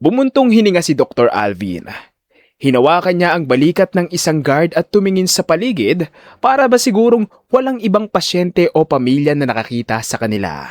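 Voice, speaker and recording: A man's voice: a little high, no room sound, studio quality. A man giving dramatic scripted narration of a story.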